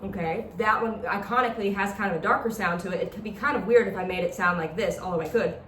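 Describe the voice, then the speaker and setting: A woman's voice: mid-range voice, quick pace, loud music behind the voice. A woman instructor explaining step by step in an informal, demonstrative lecture style. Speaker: instructor demonstrating a talk box. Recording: echoey, distant mic with background noise.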